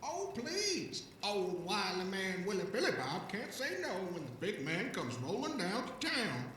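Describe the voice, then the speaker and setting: A shrill, rough-voiced old drifter rambles in a folksy, excitable way, his pitch leaping all over. He trips over words like a half-cracked audio drama alien, in a very echoey space, a little way off. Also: a little slow, recorded from a distance, a little hiss or hum, heavily acted. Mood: eager, animated. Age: older. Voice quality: rough, coarse voice. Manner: folksy, rambling, stammering, talks of himself in third person. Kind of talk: audio drama character acting.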